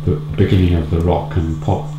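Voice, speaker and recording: An older man interviewing a guest, asking soft, unhurried questions. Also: sits low, little pitch movement, reverberant, highs cut off, very noisy.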